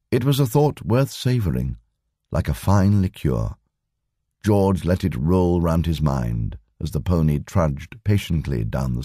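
A man reads a story aloud in a very deep, dry voice. His pitch still moves lively through the sentences, and the delivery is steady and measured. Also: no echo. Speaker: audiobook narrator. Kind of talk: scripted narration.

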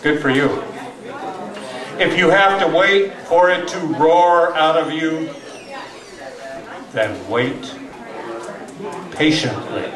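An older man in his sixties gives a live poetry reading, with deliberate dramatic pauses and wry asides. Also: fairly high pitch, crowd noise. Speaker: poetry reader at an open mic. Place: small tavern stage indoors. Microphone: stand microphone, captured from far camera. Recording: roomy live tavern, distant mic, audience noise.

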